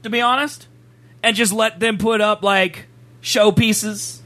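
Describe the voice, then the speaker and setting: A man's voice: high voice, steady tone, takes it slowly, bright, forceful tone. A man co-hosting a podcast, chatting casually and teasing his co-host in a joking way. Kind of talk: casual banter.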